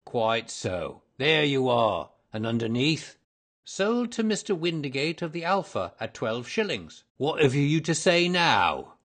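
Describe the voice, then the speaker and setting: A man's voice: mid-range voice, big pitch swings, a little slow, fairly bright tone, pitched above the performer's own voice. A man voicing a surly, hot-tempered market poultry seller in a storybook, blustering and combative. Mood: triumphant. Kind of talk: audiobook character voice.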